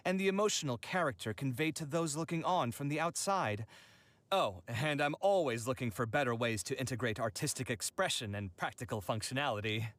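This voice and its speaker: A man's voice: mid-range voice, fairly bright tone, dry recording, close to an everyday voice. A man voicing a proud, refined young architect from a video game, articulate, earnest and self-assured. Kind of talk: video game character voice lines.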